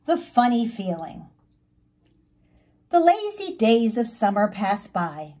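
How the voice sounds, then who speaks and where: A woman reading a children's story aloud as its author, expressive and emphatic, drawing out words. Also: fairly high pitch. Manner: animated, emphatic, drawn-out words.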